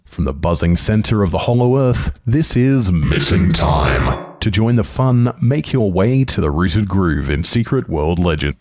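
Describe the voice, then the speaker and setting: A man voicing a demonic announcer for a scripted radio show intro, with layered pitched-down effects and some reverb. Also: animated, measured pace, few pauses, darkish tone. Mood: ominous, theatrical. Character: demonic male announcer from the hollow earth.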